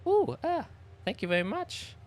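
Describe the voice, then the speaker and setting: Man putting on a high, airy talking flower. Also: very animated, moderate pace. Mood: delighted, polite. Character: cheerful, polite talking flower with a pollen mouth.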